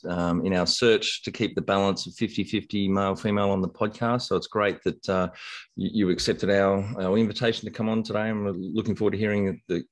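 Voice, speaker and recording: Middle-aged male host, very low voice, relaxed and halting, webcam call. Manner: relaxed, halting, restarts his sentences. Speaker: podcast host. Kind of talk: podcast interview hosting. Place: bright room with windows. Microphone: video call webcam.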